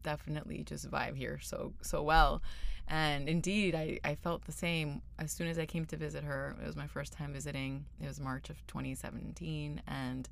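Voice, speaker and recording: A young woman from Venezuela co-hosting a casual podcast chat, laughing often and pausing with lots of ums. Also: low-pitched, level tone, vocal fry. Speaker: podcast co-host. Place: California.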